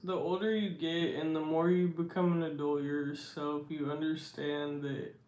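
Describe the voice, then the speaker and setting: A young man with a baritone voice, chatting slowly and reflectively on his livestream. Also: level tone. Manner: slow, reflective and soft-spoken. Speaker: livestream host. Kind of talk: casual livestream chat reading comments. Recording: roomy echo.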